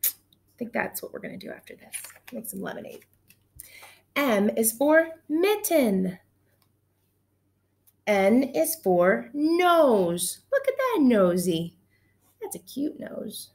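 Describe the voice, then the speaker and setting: Slow, gravelly woman reading to kids. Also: on the high side. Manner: animated, gushing, talks directly to children. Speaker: teacher reading aloud. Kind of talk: children's read-aloud. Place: small indoor room at home. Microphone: webcam at desk distance.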